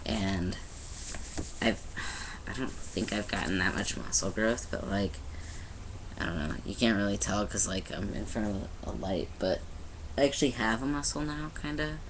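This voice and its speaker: A man's voice: lowish voice, moderate pace, background noise. A young man talking casually to his camera in a personal video diary, rambling with many restarts and fillers. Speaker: vlogger. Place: indoors in front of a light.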